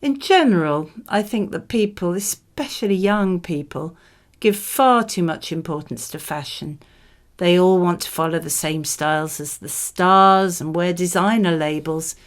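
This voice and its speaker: A woman reading scripted model answers for a speaking exam in a measured, expressive way. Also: on the low side.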